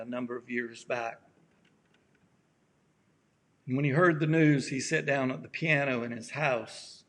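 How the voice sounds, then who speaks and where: A man with a raspy voice, a pastor giving a gentle, halting sermon with many pauses, his voice worn from allergies. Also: mid-range voice. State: allergies acting up. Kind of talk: slow reflective sermon.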